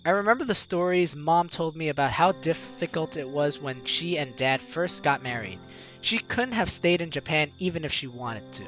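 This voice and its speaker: A man's voice: a little high, animated, moderate pace, fairly bright tone, muffled recording, faint music behind. A man hosting a game playthrough, reading narration and dialogue aloud expressively, with casual asides. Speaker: host of a video game playthrough.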